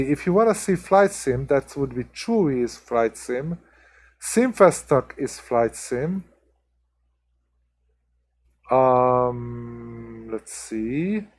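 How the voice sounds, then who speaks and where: Chatty Hungarian-accented streamer. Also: male voice, a little high, darkish tone. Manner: chatty, talks to viewers by name, many ums and restarts. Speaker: livestream host. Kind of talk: casual chat with live viewers.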